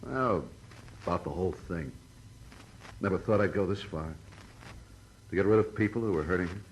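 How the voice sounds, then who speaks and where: A man's voice: low-pitched, normal speed. An actor playing a bitter, defensive, stubbornly protective tough guy in an old-time radio noir, using street talk. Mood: bitter, resigned. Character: defensive, bitter, protective older brother confessing to murder. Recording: noisy old radio drama recording.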